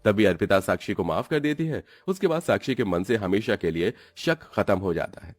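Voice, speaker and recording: A man's voice: on the low side, lively intonation, a little fast, no echo. A man giving scripted narration for a cartoon family story.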